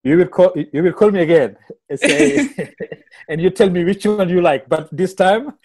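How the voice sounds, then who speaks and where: A man from Senegal with a Senegalese accent, whose first language is Wolof, telling stories as a musician guest in a casual interview, halting and repeating words. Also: high voice, lively intonation, darkish tone, breathy edge.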